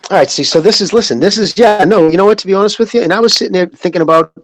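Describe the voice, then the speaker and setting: A man's voice: sits high, rapid-fire, no room sound. A blunt, sweary man from the United States in a casual, rambling sports chat. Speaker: podcast panelist.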